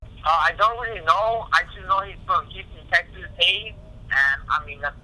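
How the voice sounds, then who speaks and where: A man speaking as a boxer in a casual phone interview, halting and repeating himself, with frequent "you know". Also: high voice, sharp tone. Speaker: professional boxer being interviewed. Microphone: telephone call. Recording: tinny phone line.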